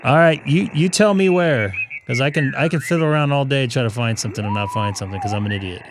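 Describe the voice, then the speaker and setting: A man's voice: medium pitch, fast, noisy background. A man hosting a ham radio live stream, chatting casually and poking fun at himself. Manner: self-deprecating, rambling. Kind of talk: casual on-air chat.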